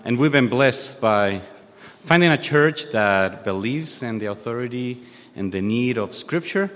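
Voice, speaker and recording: A man in his thirties from Chile, a native Spanish speaker with a Chilean accent, preaching informally and haltingly with a self-deprecating manner. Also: mid-range voice, a bit bright. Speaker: guest preacher. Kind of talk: sermon. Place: church hall. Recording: long hall reverb.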